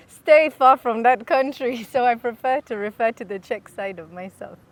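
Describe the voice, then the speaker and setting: A woman's voice: on the high side, expressive, measured pace. A woman from England, a singer, chatting in a casual interview with giggly, hesitant answers. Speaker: singer, guest. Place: outdoors in nature.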